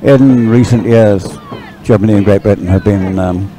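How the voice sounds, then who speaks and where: Low-voiced man commentating, music behind. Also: moderate pace, a crowd in the background, low-quality recording. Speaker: sports commentator. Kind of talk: live unscripted race commentary, chatty.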